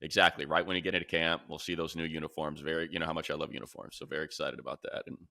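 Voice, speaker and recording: A man's voice: a bass voice, level tone, racing, gravelly, a loud crowd. A man hosting a sports show, talking casually and conversationally and often acting out what other people said. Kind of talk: casual sports talk and commentary.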